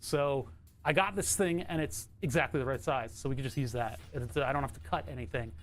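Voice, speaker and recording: A man streaming from his home setup, chatting casually in a rambling, self-interrupting way with a bright, trebly voice. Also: mid-range voice, a little fast, a little hiss or hum.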